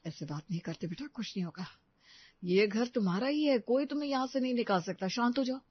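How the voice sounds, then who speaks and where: A woman voicing a soft-hearted young mother in a story, soothing and placating. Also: a little high, animated, fairly quick, husky, dry recording, highs cut off, voice pushed up.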